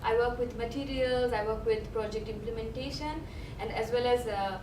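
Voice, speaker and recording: A woman's voice: fairly high pitch, moderate pace. A young woman from Bangladesh, an activist speaking on a panel, hesitant with many fillers and restarts. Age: young adult. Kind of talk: panel talk. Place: event hall. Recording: echoey room, from far off, noisy.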